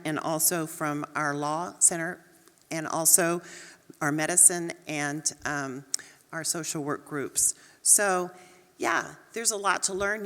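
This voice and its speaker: A woman's voice: fairly low pitch, fairly bright tone. A woman with a Texas accent, a nursing dean speaking on a panel in an earnest, encouraging, conversational way. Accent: Texan. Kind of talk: panel talk. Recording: long hall reverb.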